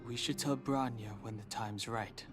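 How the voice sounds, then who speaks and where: A man playing a calm, considerate young man in a video game, serious and measured. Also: mid-range voice, over music, natural voice.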